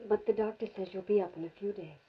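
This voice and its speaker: An actress plays a composed young woman in an old radio play. Her voice is husky, her delivery gentle and deliberate. The sound is dull and dated, like a vintage broadcast. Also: medium pitch, steady tone, close to an everyday voice. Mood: soothing. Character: calm, soothing young woman at a hospital bedside. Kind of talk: 1940s radio drama acting.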